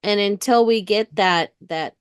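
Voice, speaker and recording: A woman's voice: medium pitch, measured pace, clean tone, dry recording, clean studio sound. A woman in her fifties with an American accent, talking candidly and casually as a guest in an interview. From United States. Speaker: interview guest, retired police dispatcher.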